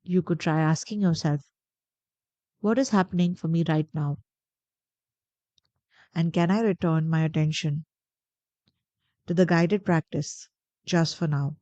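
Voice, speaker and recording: A woman guiding a meditation in a gentle, deliberate, soothing way, pausing between phrases. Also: deep voice, dry recording, highs cut off. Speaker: meditation guide. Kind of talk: guided meditation narration.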